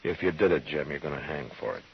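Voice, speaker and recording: An actor playing a firm but humane frontier marshal in an old radio western, measured, grave and plainspoken. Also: male voice, rumbling low, vocal fry, a little hiss or hum. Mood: grave, probing. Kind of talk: old-time radio western acting and narration. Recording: muffled old radio recording.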